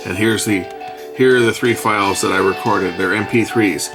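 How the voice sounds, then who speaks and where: A man's voice: fairly low pitch, steady tone, over music. A middle-aged man gives a casual hands-on demo at a workbench, talking himself through menus. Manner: thinking aloud while pressing buttons, unhurried. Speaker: product reviewer. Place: indoors at a workbench.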